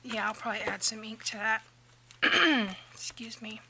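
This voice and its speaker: Bright-voiced woman chatting through a craft tutorial. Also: on the high side, a little slow, a little hiss or hum. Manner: chatty, rambling, drops to near-whispers at times. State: slightly hoarse, losing her voice. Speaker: craft channel host. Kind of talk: casual crafting tutorial narration.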